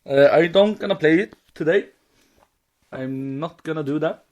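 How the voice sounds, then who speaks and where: A man co-hosting a casual fan podcast in halting with loose grammar. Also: a little high, darkish tone. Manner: non-native English with loose grammar, halting. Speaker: podcast co-host.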